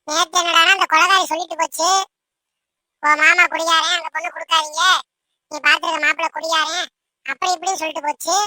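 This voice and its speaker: Woman voicing a squeaky, anxious young cartoon bride-to-be. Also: bright, forceful tone, no echo, exaggerated voice. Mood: worried, fretting. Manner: hesitant, fretful, repeatedly seeks reassurance. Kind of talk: amateur cartoon dubbing.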